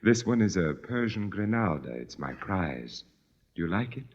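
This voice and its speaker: An actor playing a haughty, cultivated aristocratic landowner, formal and courtly, in an old-time radio drama. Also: male voice, sits low, sing-song, normal speed. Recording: muffled vintage radio recording with music.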